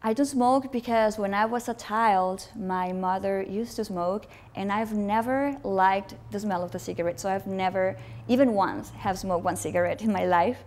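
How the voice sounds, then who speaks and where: A woman's voice: mid-range voice, normal speed, few pauses, darkish tone. A woman from Mexico, a business owner and actress, answering interview questions in a matter-of-fact way. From Sinaloa, Mexico.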